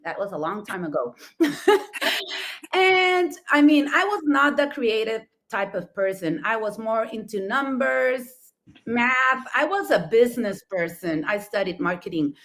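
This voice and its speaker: A woman's voice: a little high, very animated, measured pace, a bit bright. A middle-aged woman from Mexico with a Mexican accent, a native Spanish speaker, telling her story as a co-host, enthusiastic and laughing often, often rephrasing with "I mean". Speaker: florist and talk show co-host.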